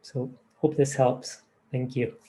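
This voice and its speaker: A man giving a motivational talk, earnest, slowing down to stress his key points. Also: mid-range voice, level tone, mellow, low-quality recording. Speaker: presenter.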